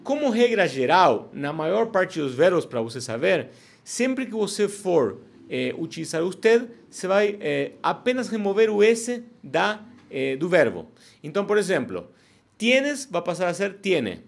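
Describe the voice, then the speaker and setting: A man teaching Spanish to learners in a relaxed online lesson, explaining step by step and often checking in with "ok?". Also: high voice, measured pace, gravelly.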